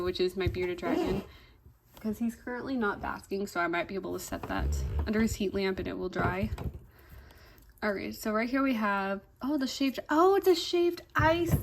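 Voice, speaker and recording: A woman's voice: mid-range voice, background noise. Chatty young woman, slightly congested. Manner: chatty, fillers "like" and "um", easily delighted. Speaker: toy unboxing vlogger. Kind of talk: casual unboxing chat.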